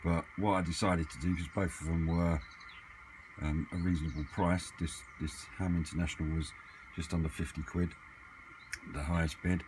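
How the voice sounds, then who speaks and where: Low-voiced man, noisy recording. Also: flat delivery, normal speed, darkish tone. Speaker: hobbyist vlogger. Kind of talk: casual chat, off-the-cuff equipment vlog. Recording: clearly noisy amateur camera recording.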